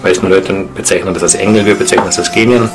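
A man explaining things spontaneously in an interview, halting and earnest. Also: deep voice, fairly even intonation, music in the background. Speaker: interviewee, esoteric practitioner.

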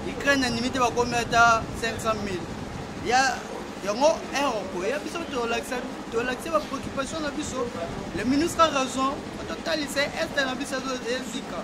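A Congolese man giving a heated opinion in a street interview, forceful and full of rhetorical questions. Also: very high-pitched, a little fast. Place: outdoors by a doorway on a street. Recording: loud outdoor street and traffic noise.

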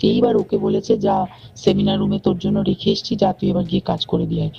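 A man's voice: very deep voice, little pitch movement, a little fast, creaky, warm tone, husky. A man giving an informal, detailed account on a recorded call, emphatically repeating phrases. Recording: dull lo-fi call recording, faint music.

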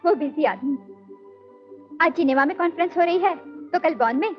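A teasing, high-voiced rich young woman. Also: moderate pace. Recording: dull old film soundtrack with faint music.

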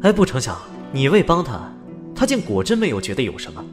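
An actor playing a chatty, teasing, genial immortal in an audio drama, with a playful, wry manner. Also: male voice, medium pitch, huge pitch swings, fairly quick. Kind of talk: Chinese audio drama acting. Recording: music behind the voice.

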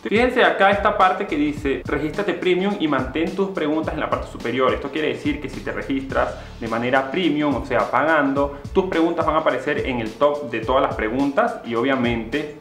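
A man's voice: a little high, moderate pace, hardly any pauses, echoey room, faint music behind. A young man from Venezuela, casually walking viewers through an app in a sponsored vlog. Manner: chatty, animated, gestures a lot while talking. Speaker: YouTuber. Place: small indoor room with posters. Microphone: camera-mounted shotgun mic at desk distance.

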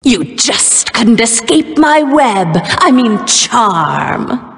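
An actress playing a seductive, predatory spider monster and proud brood mother in a video game, with a gloating, drawn-out delivery. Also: fairly high pitch, very animated, gravelly, a bit bright, faint music behind, heavily acted. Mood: gloating, menacing. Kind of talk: video game character voice lines. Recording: echoey hall-like reverb.

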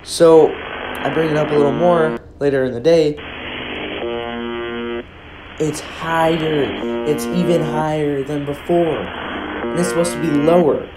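A man's voice: on the high side, slow, pauses often, over loud music. Young American man, roomy echoey recording. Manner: hesitant, informal, rambling. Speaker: video creator. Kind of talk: casual rambling commentary. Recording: roomy echo, faint background noise.

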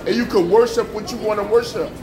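A man preaching on the street, forceful and repetitive, firing rhetorical questions at passers-by. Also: high-pitched, fast talker, echoey room, background noise. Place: outdoors on a street with passing traffic.

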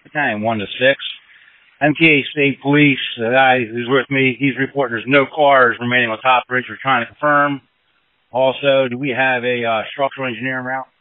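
A man's voice: medium pitch, fairly even intonation, fairly quick, no room sound. A male fire battalion officer talking in emergency dispatch traffic over a two-way radio. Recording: narrow dry two-way radio transmission.

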